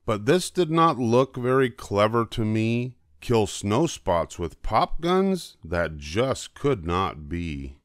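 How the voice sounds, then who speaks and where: An older man reading a rhyming children's story aloud as an expressive narrator. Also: lowish voice, takes it slowly, few pauses, dry recording, clean studio sound. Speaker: storybook reader.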